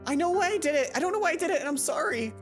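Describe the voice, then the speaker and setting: A woman's voice: very high-pitched, lively intonation, racing, soft background music, voice pushed up. A woman voicing an anxious, guilt-ridden teenage girl in a visual novel, pleading and stammering. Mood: distraught, pleading.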